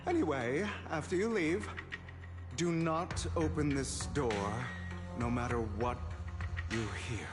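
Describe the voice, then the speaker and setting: A male actor plays a proud, calculating man from a video game, composed and defiant. His delivery is very slow and measured, with theatrical weight on his words. Music plays clearly behind him. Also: medium pitch, natural voice. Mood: calm, explanatory.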